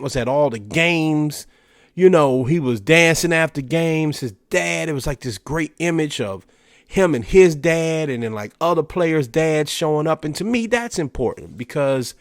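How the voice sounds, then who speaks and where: A man's voice: fairly high pitch, expressive, normal speed, no echo, clean studio sound. Stuffy-nosed man chatting on a podcast. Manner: conversational, filler-heavy with "you know" and restarts. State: stuffy, nasal from allergies. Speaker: podcast host. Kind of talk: casual solo commentary.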